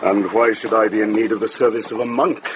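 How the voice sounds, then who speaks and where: A man's voice: fairly low pitch, fairly even intonation, fast talker, background noise, natural voice. A man acting a lordly, challenging medieval prince. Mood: suspicious. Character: haughty, guarded medieval Welsh prince. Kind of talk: period radio drama acting. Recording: muffled radio drama, loud background ambience.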